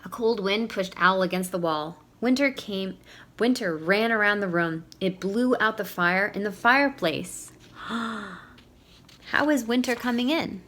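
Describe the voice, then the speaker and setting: A woman with a mid-pitched voice reads a picture book to young children. She narrates like a teacher at story time, often turning to her listeners with simple questions. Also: measured pace, fairly bright tone, a little hiss or hum.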